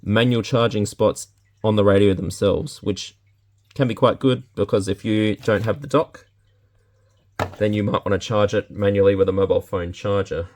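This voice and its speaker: A man's voice: on the low side, fairly even intonation, normal speed, a little mellow. An Australian man giving a casual, unscripted product demonstration in a laid-back way, thinking aloud as he handles items. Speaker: product reviewer. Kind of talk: casual unboxing and demonstration talk. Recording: clear background noise, handling clicks.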